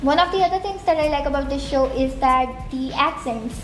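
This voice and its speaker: Young Indian-accented woman reviewer, high voice, candid and chatty, roomy echo. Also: moderate pace, soft background music. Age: young adult. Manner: candid, chatty, lots of "I think". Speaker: TV show reviewer. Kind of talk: casual opinionated review. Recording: echoey room.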